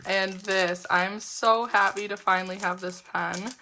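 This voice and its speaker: A woman's voice: medium pitch, steady tone, unhurried, sharp tone, a little hiss or hum. A woman vlogger chatting casually through an unboxing, her voice a little off from being sick, upbeat and giggly with lots of fillers. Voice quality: slightly weird-sounding sick voice. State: voice roughened from a lingering illness. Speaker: planner vlogger.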